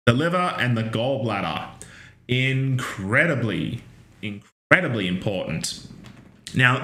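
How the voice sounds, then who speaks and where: Loud bright-voiced man lecturer in his 30s, chatty with joking asides, webcam in an echoey room. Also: mid-range voice. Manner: chatty, joking asides, many ums and restarts. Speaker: nursing lecturer. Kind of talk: recorded online lecture. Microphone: webcam video call setup.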